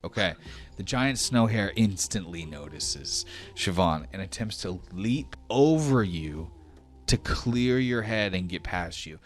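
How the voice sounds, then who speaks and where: A man's voice: deep voice, lively intonation, unhurried. A man speaking as game master of a tabletop role-playing game, explaining rules and narrating off the cuff in a conversational way. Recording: music playing behind the voice.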